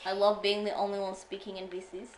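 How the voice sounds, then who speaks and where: A woman's voice: mid-range voice, monotone, fast talker. A teenage girl streaming a game, chatting casually and teasingly with many fillers. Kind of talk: casual gaming stream chat. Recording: echoey room, faint music.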